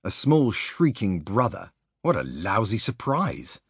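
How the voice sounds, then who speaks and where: Male narrator for non-fiction audiobook: lowish pitch, highly varied intonation, measured storytelling, close dry sound. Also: very animated, breathy edge, dry recording. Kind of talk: scripted narration of a sports biography.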